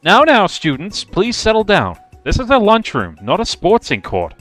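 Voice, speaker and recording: Man putting on a high, prim professor. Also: wildly swooping pitch, normal speed, fairly bright tone, soft background music, exaggerated voice. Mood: scolding. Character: fussy, prim school professor scolding students.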